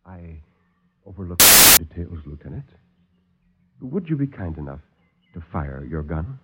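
A man playing a calm, coldly polite doctor villain in a 1940s radio drama. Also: sits low, very noisy, pitched below the performer's own voice. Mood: suave menace. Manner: courteous, unhurried, coldly polite. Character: suave, calm, menacing male doctor villain. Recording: dull old radio broadcast.

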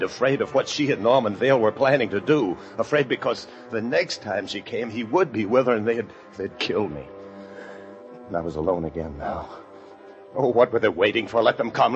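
An actor playing a paranoid fugitive husband, narrating an old-time radio thriller with intense dread. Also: male voice, lowish voice, lightly acted. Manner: intense, breathless dread, swinging from brooding to frantic shouts. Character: desperate, paranoid fugitive husband confined in a locked room. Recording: dull old radio recording with music.

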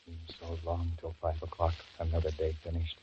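A man's voice: low-pitched, completely flat delivery, moderate pace, muffled recording, close to an everyday voice. An actor playing a nervous, cowardly young man in an old radio drama, muttering anxious thoughts and sweet-talking a woman. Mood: worried brooding. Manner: anxious muttering asides, then coaxing sweet talk. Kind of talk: 1940s radio acting with inner monologue. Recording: scratchy, hissy old radio recording.

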